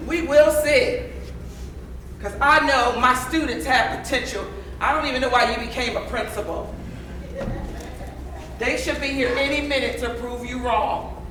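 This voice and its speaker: A woman's voice: sits high, measured pace, long pauses, a bit bright. A woman playing an earnest, encouraging schoolteacher, projecting her lines in a stage play. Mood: defiant. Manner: effusive, praising. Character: earnest encouraging female schoolteacher who believes in her students. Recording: echoey stage play, distant mic, faint noise.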